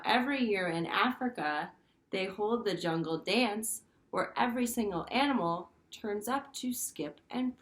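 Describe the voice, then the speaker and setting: Expressive woman teacher reading aloud. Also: medium pitch. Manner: simple, explanatory, expressive. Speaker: primary school teacher. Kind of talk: read-aloud to children with commentary.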